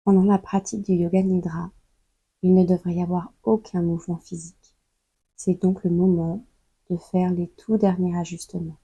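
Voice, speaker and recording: A woman leading a guided yoga nidra meditation in a calm, soothing, unhurried way, leaving long pauses between instructions. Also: lowish voice, gravelly, breathy edge, no echo, rough recording. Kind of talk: slow guided meditation narration.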